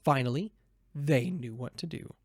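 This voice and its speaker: A man's voice: medium pitch, normal speed, darkish tone, dry recording, studio quality. A man narrating a children's storybook as a voiceover performer.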